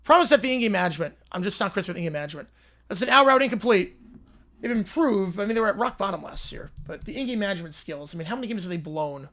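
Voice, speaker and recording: A man's voice: high voice, expressive, fast talker, a bit bright. Young man calling college football live. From Long Island, New York. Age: 20s. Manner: chatty, answers viewer questions between plays. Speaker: livestream host and play-by-play commentator. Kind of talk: casual live play-by-play with viewer chat.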